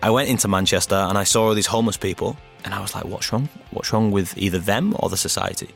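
Animated Bolton man, low voice. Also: quick pace, gravelly, faint music behind. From Bolton, England. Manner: animated storyteller, acts out his own quotes. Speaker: podcast guest. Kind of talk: casual interview chat.

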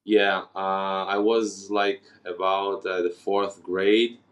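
A man with a lowish voice, speaking slowly and haltingly, with many pauses and restarts. He is a guest in a relaxed interview and talks about his own life. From Ukraine, raised in Israel. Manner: hesitant, many fillers and restarts. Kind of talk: casual interview conversation.

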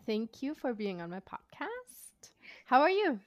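A woman's voice: sits high, big pitch swings, measured pace, no echo. A woman hosting a podcast, friendly and chatty, asking questions with little laughs and backchannels. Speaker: podcast host. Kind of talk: casual interview conversation.

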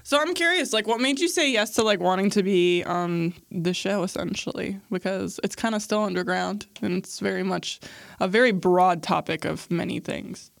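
A woman's voice: medium pitch, moderate pace, creaky, no room sound, studio quality. A young woman hosting a podcast, asking questions in a friendly, rambling way with lots of fillers. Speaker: podcast host. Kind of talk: casual interview.

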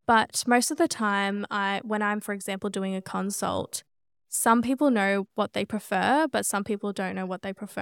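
Smooth-voiced woman podcast host. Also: mid-range voice, dry recording, clean studio sound. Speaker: podcast co-host and cosmetic injector. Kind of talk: casual explanatory podcast chat.

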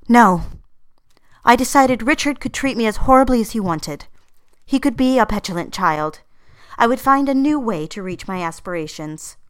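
A woman's voice: medium pitch, smooth, lightly acted. An actress voicing an earnest, ambitious young female secretary with wounded feelings in an audio drama. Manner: expressive, earnest. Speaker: actress narrating and playing lead. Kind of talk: dramatized first-person narration and dialogue.

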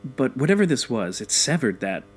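Fussy, formal magic user, audio drama, very animated intonation. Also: male voice, mid-range voice, moderate pace, breathy edge. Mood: uneasy, careful. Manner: formal, wordy, precise phrasing. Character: fussy, formal-spoken male magic user on a covert team. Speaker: voice actor in a fiction podcast. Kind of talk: scripted audio drama acting.

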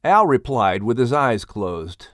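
A man reading a children's audiobook as its narrator, in an animated storytelling style. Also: mid-range voice, slow pace, dry recording, clean studio sound.

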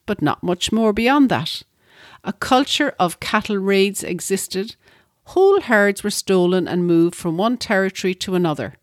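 Low-voiced woman narrating from a script. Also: no echo, studio quality. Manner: measured, reading from a script. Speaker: podcast co-host.

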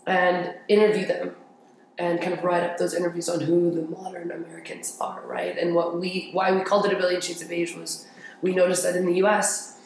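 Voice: mid-range voice, level tone, a little fast. Young interview guest in a very echoey room. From grew up in Paris, France. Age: young adult. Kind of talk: casual conversational storytelling. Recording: very echoey room with faint noise.

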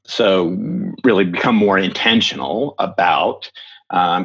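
Low, husky-voiced American man. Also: fairly bright tone, muffled recording. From Florida, USA. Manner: measured, thoughtful, with frequent ums and self-corrections. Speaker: guest, strategy consultant. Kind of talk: podcast interview.

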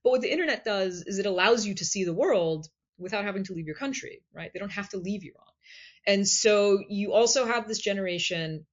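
A woman, a guest scholar, explaining history in a measured way and often checking in with "right?". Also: medium pitch, highs cut off. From United States. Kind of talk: explanatory interview talk.

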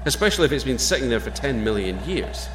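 An actor playing a steady, easygoing astronaut captain with a Scottish accent, wry and reassuring in a sci-fi audio drama. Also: male voice, mid-range voice, fast, background noise, natural voice. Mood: wry, relaxed.

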